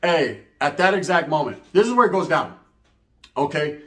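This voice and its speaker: A man's voice: a little high, very animated, moderate pace, fairly bright tone, echoey room. A man telling a raunchy comic story casually, full of slang and swearing and acting out the dialogue. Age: adult, parent. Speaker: comedic storyteller.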